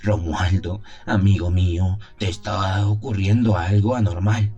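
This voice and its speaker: Man playing a deep-voiced, grave abbot. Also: fairly even intonation, warm tone, muffled recording, soft background music. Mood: gentle admonishing. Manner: grave, measured, admonishing. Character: calm, pious older abbot counseling a troubled young priest. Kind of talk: audio drama acting.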